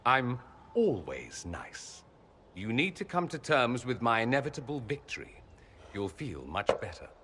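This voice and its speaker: An actor playing a witty, self-assured mage scholar in a video game, with an arch, sardonic, eloquent way of talking. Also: male voice, mid-range voice, very animated, measured pace, fairly bright tone, slight background noise. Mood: smug, teasing. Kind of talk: video game cutscene acting. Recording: long hall reverb.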